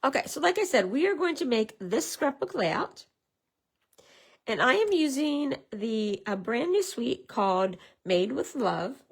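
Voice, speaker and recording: Chatty craft demonstrator, woman, hoarse with a head cold, gravelly. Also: a little high, sing-song, measured pace. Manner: chatty, greets viewers, many fillers. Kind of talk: casual live craft tutorial.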